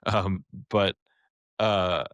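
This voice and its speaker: A man's voice: low voice, little pitch movement, extremely slow, fairly bright tone, no echo. An American man co-hosting a podcast in casual chat, opinionated and emphatic in the way he talks. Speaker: podcast co-host.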